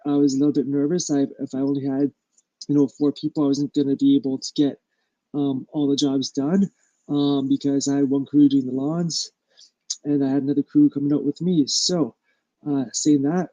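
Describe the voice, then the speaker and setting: Man, stuffy nose, fairly high voice, dry sound, halting casual live-stream talk full of fillers. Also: dark tone, no echo, poor audio. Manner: halting, full of ums and uhs. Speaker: small business owner hosting a live stream.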